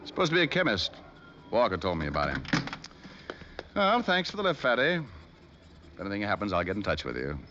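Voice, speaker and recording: An actor playing a cool, sardonic private detective in an old radio drama, with a dry, offhand delivery. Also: male voice, on the low side, wildly swooping pitch, fairly quick, long pauses, dull audio. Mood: casual, businesslike. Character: wisecracking, cool-headed male private detective. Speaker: lead actor and first-person narrator in a radio detective drama. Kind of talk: old time radio detective acting and narration. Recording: noisy, band-limited vintage radio recording.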